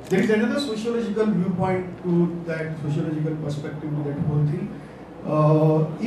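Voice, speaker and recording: Man, panel talk, distant mic, noisy echoey room. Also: a little high. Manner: thoughtful, halting with many fillers. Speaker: panel speaker. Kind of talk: panel discussion. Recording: echoey distant hall recording with noise.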